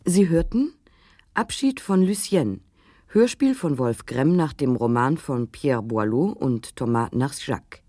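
A woman announcer with a low, lively-pitched voice reads scripted credits on the radio. The sound is close and dry. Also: unhurried, dry recording.

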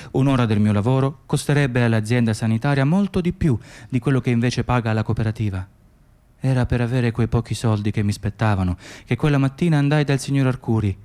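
An actor playing a weary, dutiful Moroccan immigrant hospital worker in a radio drama, speaking in a plain, insistent monologue. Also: male voice, medium pitch, darkish tone, close to an everyday voice. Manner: clipped inner monologue and plain testimony.

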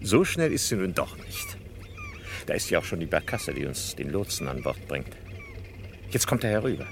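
A confident fugitive doctor with a low voice that swings dramatically up and down. He is suave and condescending in a theatrical 1960s radio-play manner. The old recording carries a faint noise. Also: wildly swooping pitch, normal speed, gravelly, exaggerated voice. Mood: smug, confident. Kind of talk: 1960s German radio crime drama acting.

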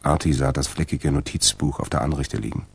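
A man narrating a radio crime drama from a script in a gravelly voice. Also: very deep voice, a little fast. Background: music.

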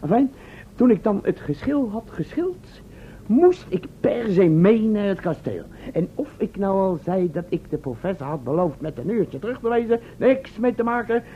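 A man's voice: high-pitched, big pitch swings, normal speed. An actor playing a breezy, boastful time-travel test subject who tells his tales in cheeky, colloquial language in a radio play. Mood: cheerful bragging. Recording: tinny, band-limited old radio recording, hiss.

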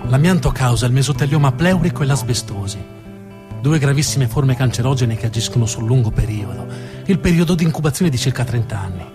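A man performing dramatic scripted narration as a theatre storyteller, with a deliberate, emphatic delivery and dramatic pauses. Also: medium pitch, lively intonation, over music.

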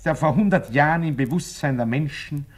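An actor playing an impassioned expert giving an emphatic, didactic address in a 1950s radio drama. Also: male voice, on the high side, fairly quick, natural voice. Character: impassioned male expert lecturing on European electricity.

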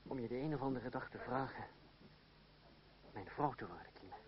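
A man's voice: medium pitch, expressive, moderate pace, close to an everyday voice. A man acting a witty, mocking, gallant rogue in love in an old radio drama, ironic and courtly in his delivery. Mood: ardent, earnest. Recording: dull old radio play, crowd noise behind.

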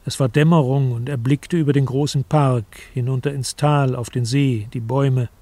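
A man narrating a literary radio drama in a composed, deliberate storytelling manner. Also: medium pitch, fairly even intonation, warm tone, dry recording. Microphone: close studio microphone.

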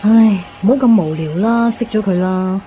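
A woman's voice: mid-range voice, moderate pace, natural voice. An actress playing a reserved but restless young woman in a 1990s radio drama, with a Hong Kong Cantonese accent. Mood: exasperated. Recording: dull 1990s radio recording.

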